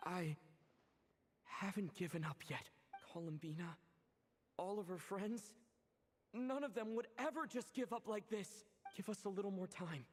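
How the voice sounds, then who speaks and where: A man's voice: high voice, a little slow, long pauses. A man playing an earnest, determined friend who refuses to give up, in a video game. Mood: earnest, resolute. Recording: long hall reverb, faint noise.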